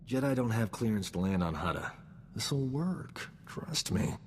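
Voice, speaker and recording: A man's voice: lowish voice, lively intonation, a little slow. A boastful, charming rogue of a doctor for a game cutscene. He talks in a cocky, self-admiring voice, with long reverb and some noise around it. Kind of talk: video game cutscene acting.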